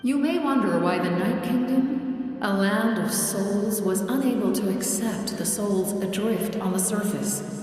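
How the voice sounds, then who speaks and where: Slow, echoing, solemn immortal angel played by an actress. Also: female voice, medium pitch, darkish tone, natural voice. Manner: measured, grave, formal. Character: solemn immortal female angel, Lord of the Night. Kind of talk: dramatic game cutscene acting. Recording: long cavernous reverb, faint music behind.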